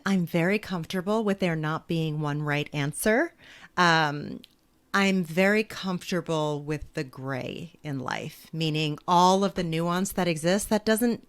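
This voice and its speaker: A woman in her forties, an author talking as a guest in a thoughtful, measured way and laughing easily. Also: deep voice. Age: 40s. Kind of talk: reflective interview conversation.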